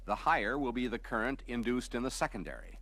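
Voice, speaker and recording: A man narrates scripted instructional material in a measured, explanatory way, like a training film narrator. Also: mid-range voice, expressive, a bit bright. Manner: measured, explanatory, clearly structured delivery.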